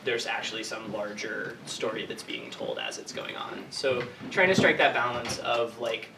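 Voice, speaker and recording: A man's voice: fairly low pitch, very animated, moderate pace, vocal fry. A man in his twenties giving informal, rambling answers as a writer at an audience Q&A. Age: 20s. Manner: hesitant, rambling, heavy use of "like". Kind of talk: informal Q&A talk. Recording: echoey room, distant mic, noisy.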